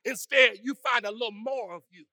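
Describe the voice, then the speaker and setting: High-voiced preacher man, gravelly and bright, goes quiet then hollers at the church. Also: a little fast, no room sound, clean studio sound. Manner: preaching with call-and-response, swinging from hushed to shouting. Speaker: pastor. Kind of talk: sermon.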